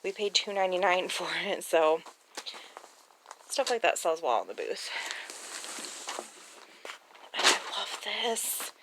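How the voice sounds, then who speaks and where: A woman's voice: lowish voice, very animated, slow pace, pauses often, background noise. A woman chatting casually and informally as a reseller vlogger, with mild slang.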